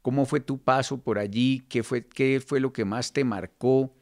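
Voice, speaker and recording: A man hosting an interview in a measured, ceremonious way. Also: medium pitch, fairly even intonation, a little mellow. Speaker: interview host. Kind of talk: podcast interview, formal introduction and questions.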